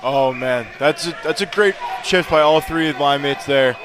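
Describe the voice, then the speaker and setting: A man's voice: on the high side, moderate pace, a bit bright. A man giving conversational, analytical color commentary on a live hockey radio broadcast.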